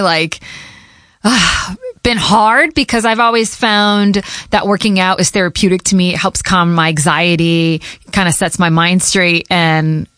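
A woman's voice: mid-range voice, a bit bright, no room sound. Chatty woman radio host, dry sound. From Connecticut, USA. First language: Portuguese. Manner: chatty, loose, laughs between thoughts. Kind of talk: casual personal Q&A to listeners.

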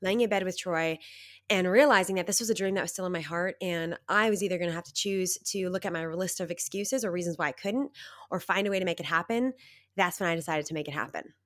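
A woman in her thirties from Canada, a guest telling her story in an upbeat, animated way, slightly nasal from a cold. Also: lowish voice, quick pace. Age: 30s. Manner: upbeat, animated, laughs often. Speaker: guest, success coach. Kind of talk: conversational storytelling.

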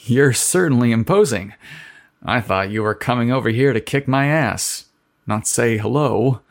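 A man's voice: mid-range voice, normal speed, natural voice. A man doing a stammering nervous young writer. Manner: stuttering, flustered.

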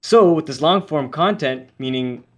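A young man co-hosting a podcast, telling stories in an animated way and acting out what others say. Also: fairly high pitch, normal speed. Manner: animated storyteller, acts out quotes. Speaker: podcast co-host. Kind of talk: casual podcast chat.

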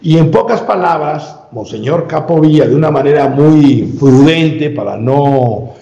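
Male interview guest, gravelly and mellow, measured and full of detail, roomy room sound. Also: a little high, dull audio. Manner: measured, detailed, with frequent restarts and "eh" fillers. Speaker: guest expert. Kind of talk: explanatory interview talk.

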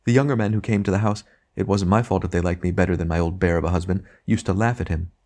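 A man's voice: sits low, quick pace, a little mellow. A man voicing the ghost of a domineering wife, complaining and self-righteous in an audiobook reading.

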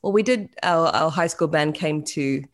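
Low-voiced New Zealand woman on a call. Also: moderate pace. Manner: chatty, self-deprecating, many fillers and restarts. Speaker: guest musician. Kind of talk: casual interview over video call. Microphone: video call.

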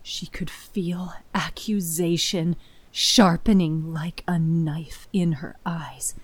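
A woman narrating dramatic horror fiction with deliberate, suspenseful phrasing. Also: fairly low pitch, steady tone, slightly rough, no room sound.

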